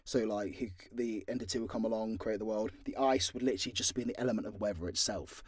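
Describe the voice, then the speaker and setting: A man's voice: on the low side, steady tone, quick pace, slightly rough, dry recording, clean studio sound. A British man answering fan questions in a casual, unscripted way, blunt and joking, with plenty of swearing. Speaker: voice actor and content creator answering fan questions.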